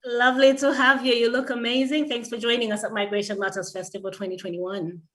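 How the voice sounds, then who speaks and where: A woman's voice: fairly high pitch, steady tone, fast talker, fairly bright tone, recorded from a distance. A woman hosting a festival event on stage, introducing and questioning a poet in an upbeat, effusive way. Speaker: event host.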